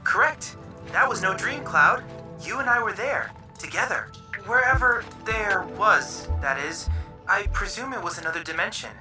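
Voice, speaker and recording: An enthusiastic, bookish young boy genius from a video game, played by a woman. The speech is formal and precise with very lively intonation, in a roomy echo over music. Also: medium pitch, moderate pace, close to an everyday voice. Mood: earnest, keen. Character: eager, polite, bookish boy scientist.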